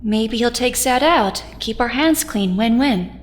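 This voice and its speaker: A woman's voice: on the high side, expressive, unhurried, big-room sound. A woman playing a caring young hero with a dry streak in an audio drama. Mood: dry, resigned.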